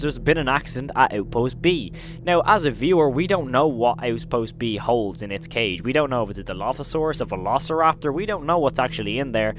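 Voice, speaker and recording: A man with a medium-pitched voice delivers casual commentary as a video host. He retells scary scenes in an emphatic storytelling manner, piling on intensifiers. A noticeable hum sits in the recording.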